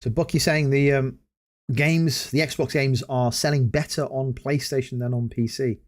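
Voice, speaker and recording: A man hosting a casual solo gaming podcast, hoarse after hours of talking and rambling with many hesitant restarts. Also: mid-range voice, steady tone, normal speed. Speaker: podcast host.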